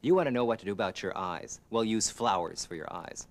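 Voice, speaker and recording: A man's voice: fairly low pitch, animated, brisk. A man voicing three scolding female huckleberry sisters, talking in a nagging, exasperated way.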